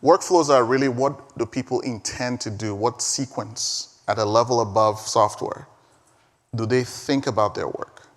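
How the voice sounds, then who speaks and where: A man's voice: medium pitch, unhurried. Emphatic Igbo-speaking man in reverberant hall. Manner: emphatic, energetic, repeats words. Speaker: conference speaker. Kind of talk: live keynote talk and Q&A. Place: large conference hall.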